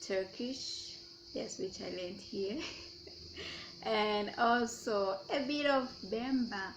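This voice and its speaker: A woman's voice: mid-range voice, creaky, background noise. A young Zambian woman with a Zambian accent, chatting casually as she answers her own questions in a vlog. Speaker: YouTuber.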